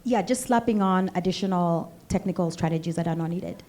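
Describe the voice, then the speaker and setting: A woman's voice: on the low side, fairly quick, warm tone, slight background noise. A woman speaking on a panel, direct, with light laughs as she talks. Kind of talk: panel discussion.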